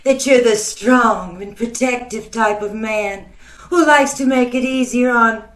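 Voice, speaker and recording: A woman playing a flirtatious, flattering saleswoman with a coy, sugary, cooing manner in a radio comedy. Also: a little high, a little slow. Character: flirtatious, flattering saleswoman sweet-talking her customers. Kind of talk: old-time radio comedy acting. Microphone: video call. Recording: echoey room over video call.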